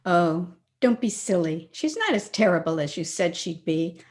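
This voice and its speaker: A woman's voice: fairly low pitch, very animated, normal speed. An actress playing a nervous, clinging young lady in an old-time radio drama, speaking anxiously and pleadingly. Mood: dismissive.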